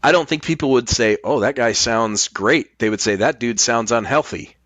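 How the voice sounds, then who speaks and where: A man's voice: mid-range voice, moderate pace, fairly bright tone, no room sound, highs cut off. A man chatting casually as a podcast host, blunt and emphatic, swearing casually. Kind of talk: casual chat.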